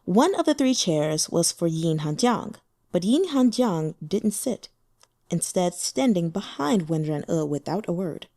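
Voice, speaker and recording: A woman narrating a translated fantasy novel in a lively, expressive reading. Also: fairly low pitch, measured pace, no room sound, clean studio sound. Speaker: audiobook narrator.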